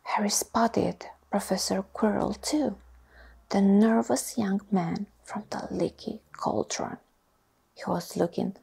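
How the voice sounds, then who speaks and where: Lady whispering a bedtime story real slow, husky and a bit gravelly. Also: medium pitch. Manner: soft, unhurried, breathy whisper. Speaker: narrator. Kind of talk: ASMR whispered story reading.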